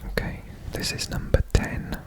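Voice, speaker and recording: A person from Wales whispering in a breathy, deliberate way for ASMR, repeating words. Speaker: ASMR host presenting a personal guessing quiz.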